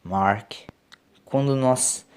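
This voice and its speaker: A man playing a grave time-travelling doctor in an audio drama, explaining things in a measured way. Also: medium pitch, a little mellow, smooth, pitched above the performer's own voice. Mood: urgent. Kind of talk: audio drama story acting.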